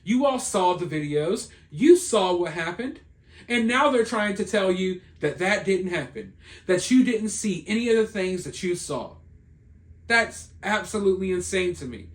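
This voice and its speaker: Hoarse, high-voiced man ranting. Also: lively intonation, measured pace. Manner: indignant, ranting, emphatic. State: hoarse, losing his voice; tired. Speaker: online video commentator. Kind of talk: solo commentary vlog.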